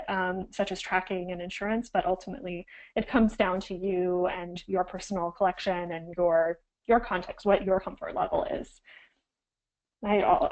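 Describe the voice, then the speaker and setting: A woman's voice: medium pitch, darkish tone, poor audio. A woman archivist getting over a cold, slightly froggy, giving an instructional webinar with frequent ums and self-corrections.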